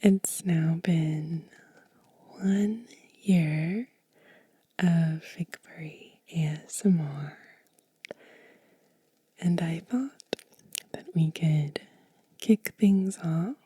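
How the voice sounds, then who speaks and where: A woman whispering softly and breathily in a gentle, unhurried, soothing ASMR show and tell. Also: sits low, breathy edge, dry recording. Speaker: host of a witchcraft ASMR channel.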